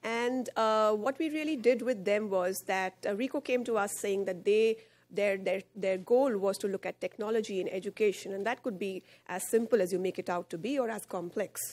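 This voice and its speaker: A woman in her thirties speaks at a podium microphone on a conference stage. She explains her organization's work in a presenting tone, with frequent fillers. Her pitch sits in the middle range for a woman. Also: normal speed, darkish tone. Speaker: head of partnerships at a charity.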